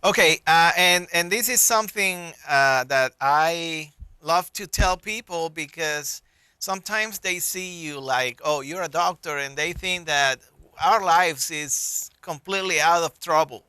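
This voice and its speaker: Bright, clear-voiced man with a Colombian accent. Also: a little high, unhurried, no room sound. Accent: Colombian Spanish. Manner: earnest, repeats words while finding them. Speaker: guest doctor. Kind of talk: conversational interview.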